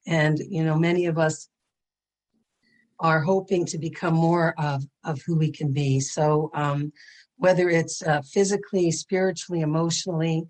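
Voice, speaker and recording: A woman with a raspy voice giving a gentle, earnest spiritual class over a video call. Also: deep voice, fairly even intonation, moderate pace. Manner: earnest and gentle, with frequent ums. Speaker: Torah educator and speaker.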